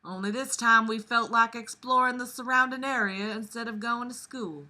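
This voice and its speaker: A woman plays a Southern Belle storyteller with a Southern US accent. The voice is very bright and sharp, and the storybook narration is theatrical. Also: a little high, fairly even intonation, moderate pace. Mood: drawling, storytelling.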